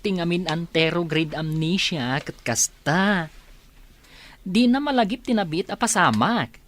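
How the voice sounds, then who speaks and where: A practical, helpful relative played by a woman in a radio family drama. Her voice sits a little low. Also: lively intonation, a little slow. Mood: matter-of-fact. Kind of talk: Ilocano radio drama acting.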